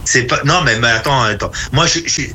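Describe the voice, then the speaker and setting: A man in his sixties from France, telling personal stories casually as a guest, haltingly and with repeated phrases. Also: mid-range voice, sharp tone. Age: 60s. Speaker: interview guest.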